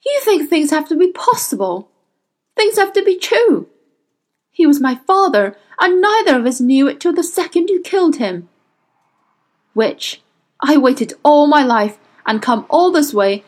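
A woman's voice: on the high side, very animated, normal speed, hardly any pauses, slightly rough. A woman voicing a furious, anguished young boy in a story, crying out in fierce accusation. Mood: desperate anger.

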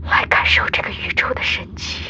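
A woman's voice: brisk, in a whisper, muffled recording, close to an everyday voice. A woman playing a tender, emotional mother speaking heartfelt words to her child in a radio drama voice message. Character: tender, emotional astronaut mother addressing her young daughter.

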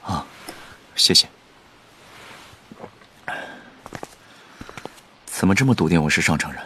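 A man acting in a radio drama as a composed, considerate young gentleman from the upper city, speaking in a measured, concerned way. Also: sits low, lively intonation, a little hiss or hum. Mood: curious, polite. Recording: prominent music behind the voice.

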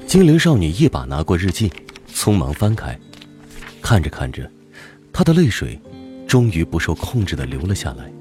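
A man with a very deep voice narrates an audio drama. His delivery is scripted and dramatic, with lively pitch movement and faint music behind him. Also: normal speed.

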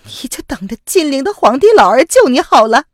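A woman narrator voicing a desperate young woman who pleads in a high, tearful voice. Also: takes it slowly, no echo, studio quality. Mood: bitter.

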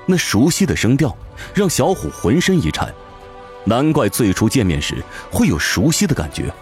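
A man's voice: medium pitch, sing-song, moderate pace. A man narrating a fantasy audio drama from a script, with intense, theatrical storytelling. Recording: music behind the voice.

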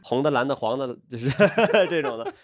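A man's voice: medium pitch, lively intonation, a little fast. A man from Northeast China co-hosting a podcast, joking at his own expense and chuckling through his words. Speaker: podcast co-host. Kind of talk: casual chat.

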